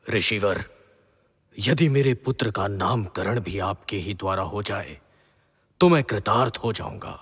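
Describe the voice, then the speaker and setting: Courteous, devout king, played by a man, unhurried formal delivery, mythological radio play, long hall reverb. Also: fairly low pitch, fairly bright tone, breathy edge. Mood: reverent, grateful. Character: humble, reverent, courteous king and new father. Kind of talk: formal Hindi mythological audio drama acting.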